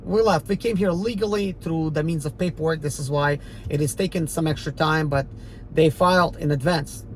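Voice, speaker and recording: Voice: medium pitch, moderate pace, a little hiss or hum, poor audio. A rideshare driver from the former Soviet Union with an Eastern European accent, talking in a casual, defiant, rambling monologue to a channel audience. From Ukraine, former Soviet Union. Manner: defiant, emphatic and rambling, with many fillers.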